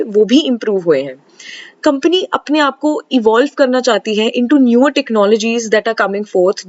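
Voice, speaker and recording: High-pitched Indian woman presenting analysis. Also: muffled recording. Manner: switches often between Hindi and English. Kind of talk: explanatory stock analysis presentation.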